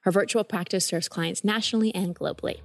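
A woman's voice: medium pitch, steady tone, fairly quick, soft background music. A woman in her forties hosting a podcast, reading an ad and interviewing a guest in an upbeat, earnest way. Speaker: podcast host. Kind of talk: read ad and interview.

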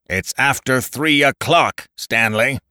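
A man voicing a menacing male figure who shouts booming demands over a radio from the sky in an audiobook story. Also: slow pace, no echo, studio quality. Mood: menacing, demanding.

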